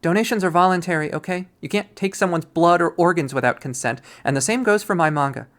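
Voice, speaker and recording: A performer voicing a bubbly but touchy young woman in a visual novel, with an animated, emphatic, girlish manner. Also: medium pitch, fast talker, voice pushed up, close to an everyday voice. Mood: defensive, heated.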